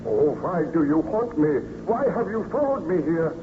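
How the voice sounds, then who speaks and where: An actor playing a tormented, guilt-ridden scientist in an old-time radio drama, melodramatic and formal. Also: male voice, on the high side, very animated, normal speed. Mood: horrified. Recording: muffled, noisy old radio recording.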